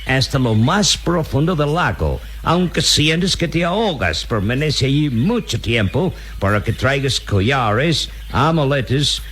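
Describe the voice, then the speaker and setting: Sly villainous captain, swooping slow delivery. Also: male voice, mid-range voice, big pitch swings, fairly bright tone. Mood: scheming, bargaining. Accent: Latin American Spanish. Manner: sly, coaxing, patronizing, drawn-out hesitations. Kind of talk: melodramatic Latin American radio serial acting. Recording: noisy jungle ambience with birdsong.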